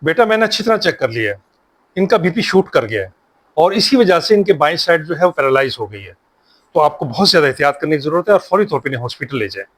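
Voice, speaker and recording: A man's voice: on the high side, sing-song, fast, a bit bright. A man playing an earnest, reassuring, pious family doctor who mixes in English words, in a moral short drama. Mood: grave. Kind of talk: Urdu moral short-film acting.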